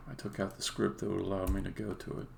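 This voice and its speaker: A man with a deep-leaning voice full of heavy fry talks through his coding on a casual stream. He works haltingly, half to himself, like a developer thinking out loud. A faint bed of room noise and keyboard clicking sits behind the voice. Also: flat delivery. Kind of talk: casual live-coding commentary, thinking aloud.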